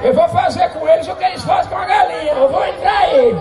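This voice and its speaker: A man joking and shouting as the comic announcer of a chicken race at an outdoor street festival. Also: extremely high pitch, fast, creaky. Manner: shouting, joking, mock-sports-caster patter. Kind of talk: joking live announcing over a crowd. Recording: echoey outdoor street event with loud music and crowd.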